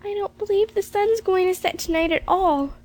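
Woman voicing a high-pitched, timid little girl. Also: normal speed, a little mellow. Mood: anxious, pleading. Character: timid, dreamy little girl, youngest child. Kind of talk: children's storybook character voice.

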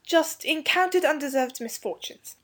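An eager young schoolboy from a storybook, played by a woman reader. The voice is high and boyish, with earnest, hasty speech that trips over its own slang while trying to stay polite. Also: expressive, moderate pace. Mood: flustered explaining. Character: eager, hasty young English schoolboy, flustered but courteous. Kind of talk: storybook character dialogue.

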